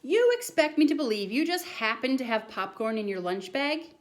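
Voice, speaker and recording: A woman's voice: a little high, big pitch swings, moderate pace, slight background noise, pitched above the performer's own voice. A woman voicing a skeptical, stern schoolteacher from a storybook, disbelieving and scolding. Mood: incredulous.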